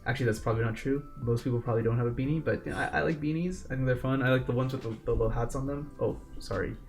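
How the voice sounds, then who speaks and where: A man's voice: mid-range voice, racing, a little mellow, soft background music. A young man co-hosting a casual podcast over a video call from his bedroom, rambling and joking about himself with many restarts. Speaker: podcast co-host. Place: home bedroom. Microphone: desk mic with pop filter.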